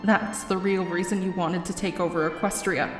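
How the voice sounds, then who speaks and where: A woman's voice: on the low side, steady tone, moderate pace, music in the background, natural voice. A woman playing an earnest, righteous young princess with a firm, pleading manner in an audio drama. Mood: earnest reproach. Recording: echoing hall reverb.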